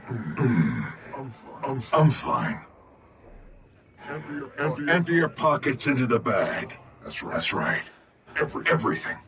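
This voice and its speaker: An actor playing a desperate, hard-drinking gambler and robber in a video game, rough, pleading and blustering. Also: male voice, medium pitch, very animated, measured pace, long pauses, a crowd in the background. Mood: menacing.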